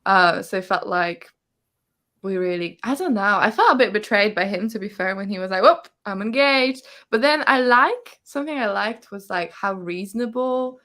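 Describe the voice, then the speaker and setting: A woman's voice: mid-range voice, lively intonation, moderate pace, a bit bright. A woman hosting a livestreamed book discussion, chatting casually and often acting out imagined reactions. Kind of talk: casual chat, reading out viewer comments. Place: online livestream call.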